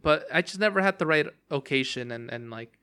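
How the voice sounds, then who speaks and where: A man's voice: fairly high pitch, lively intonation, a little fast, sharp tone, clean tone. A young man hosting a casual solo podcast about a game, rambling with lots of fillers and restarts, his voice strained and cracking from long talking. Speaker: podcast host.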